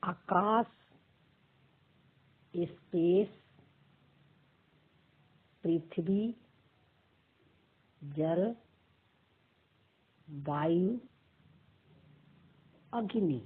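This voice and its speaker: Female meditation guide, extremely slow delivery with long pauses, breathy-rough tone, muffled telephone-grade sound. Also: lowish voice. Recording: muffled narrow phone-like audio.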